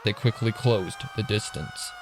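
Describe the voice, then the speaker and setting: A man's voice: medium pitch, huge pitch swings, a little slow, background noise. A man reading a scripted story as a dramatic narrator. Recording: narration over music and sirens.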